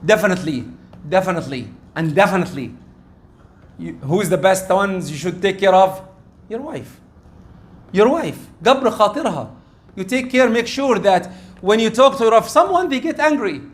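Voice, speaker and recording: High-voiced man preaching. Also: normal speed. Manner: animated, acts out quoted exchanges, rising loud when excited. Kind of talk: sermon.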